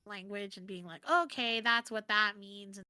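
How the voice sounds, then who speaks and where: American girl guest on a stream, casual chat, expressive, really bright high voice, dry close sound. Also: moderate pace, clean tone, no echo. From United States.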